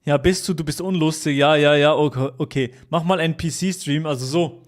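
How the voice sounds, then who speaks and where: A young man with a voice a little higher than most men's. He chats loosely with his stream audience, joking, swearing and repeating himself. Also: steady tone, normal speed. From Switzerland. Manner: chatty, jokey, repeats himself, swears at chat. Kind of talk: casual livestream chat with viewers.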